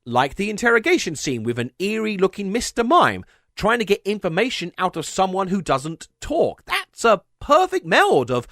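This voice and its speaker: A man's voice: high voice, wildly swooping pitch, normal speed, fairly bright tone, no echo, studio quality. A man hosting a video essay, reading scripted commentary with wry, sarcastic asides.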